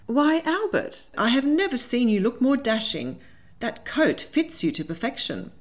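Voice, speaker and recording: A woman's voice: on the low side, big pitch swings, fairly quick, darkish tone. A woman voicing a spirited, polite young society lady in a storybook, proper and earnest. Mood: bright flattery. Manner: proper, earnest, indignant when teased.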